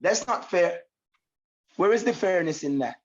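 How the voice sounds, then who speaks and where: A high-pitched man with a Jamaican accent talks as a musician being interviewed. He drives points home emphatically, repeating phrases for weight. The sound is dull and lo-fi. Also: animated, a little slow. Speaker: musician guest. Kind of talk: interview conversation.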